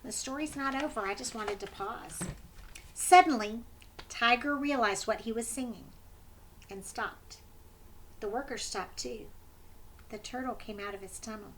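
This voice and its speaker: A woman reading a story to children in an animated, expressive way, pausing to prompt her young listeners with questions. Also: on the high side, poor audio.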